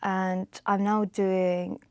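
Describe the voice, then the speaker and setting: Woman calmly describing research work. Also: mid-range voice, very slow, smooth, no room sound. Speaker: researcher, former master's student. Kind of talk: recorded career testimonial.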